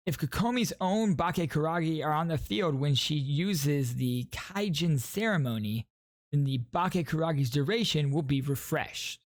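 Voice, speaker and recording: Guy doing game commentary for his viewers, kinda high voice, informal, dry close mic. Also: no room sound. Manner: informal, addresses viewers as guys. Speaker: gaming video host.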